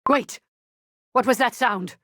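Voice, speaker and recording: A woman's voice: a little high, slow pace, very noisy. A woman voicing a proud, protective queen in a video game, speaking with regal, dramatic indignation. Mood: urgent. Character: proud, imperious, protective queen of a golden kingdom. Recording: voice over loud game music.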